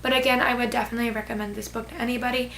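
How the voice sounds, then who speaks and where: A young woman with a fairly high voice chats on about a book she loved, rambling and gushing with plenty of filler words. Her voice is hoarse and fading. A faint hum sits under the recording. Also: flat delivery, racing, fairly bright tone. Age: teens. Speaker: book vlogger. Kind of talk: casual book review.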